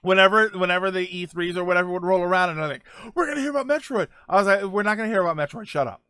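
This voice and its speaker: A man's voice: high voice, very fast, sharp tone, clean tone, no echo, studio quality. A man chatting casually as host of a live stream, rambling and joking as he answers viewers.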